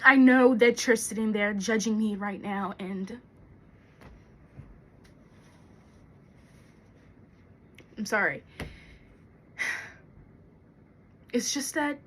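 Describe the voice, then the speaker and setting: A woman performing a theatrical monologue as an anxious, fearful young woman, confiding haltingly with dramatic pauses. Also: medium pitch, fairly bright tone, a little hiss or hum, close to an everyday voice. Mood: subdued, pleading. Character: anxious young woman confessing a terror of thunderstorms.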